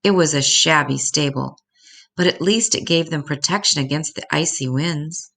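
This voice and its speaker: A woman narrates a picture book for children in a low, gravelly voice. Her delivery is expressive storybook reading, with creak at the edges of the voice. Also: normal speed.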